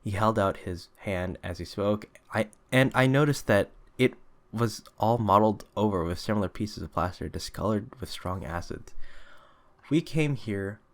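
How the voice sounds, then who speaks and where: Male audiobook reader, low pitch, scripted literary narration with occasional stumbles. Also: normal speed. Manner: stumbles and rereads phrases.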